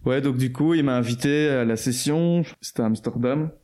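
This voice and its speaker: A man's voice: medium pitch, moderate pace, mellow. A man, chatting casually as an interview guest, loose and slangy with lots of fillers and restarts. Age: 20s. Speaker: guest musician.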